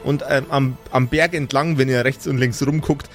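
A man's voice: mid-range voice, steady tone, normal speed, faint music behind. A man acting as game master, improvising scene descriptions for a tabletop role-playing game.

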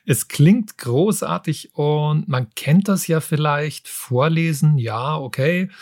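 A man's voice: on the high side, takes it slowly, a little mellow, dry recording, studio quality. A man from Munich co-hosting a podcast in casual conversation. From Munich, Germany. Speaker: podcast co-host.

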